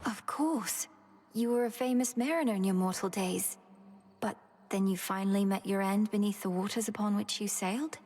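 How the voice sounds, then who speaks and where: A woman's voice: medium pitch, normal speed, darkish tone, natural voice. A woman playing an earnest, dutiful young witch princess in a video game, speaking formally and with determination. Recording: music underneath.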